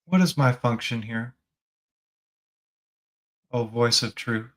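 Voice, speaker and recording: A man leading a guided spiritual meditation as a teacher, gently prompting the listener with reflective questions. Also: mid-range voice, takes it slowly.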